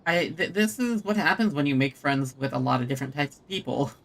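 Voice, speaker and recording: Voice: medium pitch, brisk, vocal fry, a bit bright. A streamer giving casual gaming commentary, wry and hesitant, often stammering over words. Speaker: streamer playing a game. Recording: faint game music behind.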